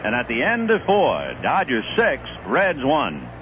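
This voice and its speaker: A man calling a baseball game play by play on radio, with a relaxed storytelling delivery that rises sharply on big plays, heard from an old 1970s broadcast. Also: mid-range voice, a little slow, muffled recording, a little hiss or hum. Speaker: play-by-play announcer. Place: broadcast booth at a stadium.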